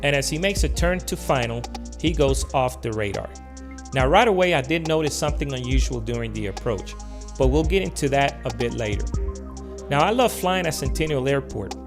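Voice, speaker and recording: A man's voice: medium pitch, lively intonation, brisk. A man narrating an explanatory aviation video as the host, addressing viewers directly. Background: music.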